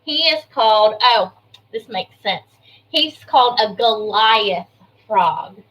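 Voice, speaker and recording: Slow-paced woman teacher reading to young children. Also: fairly high pitch, low-quality recording. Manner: expressive, explaining simply to young children with questions. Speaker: kindergarten teacher. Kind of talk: read-aloud of a children's information book with chatty asides.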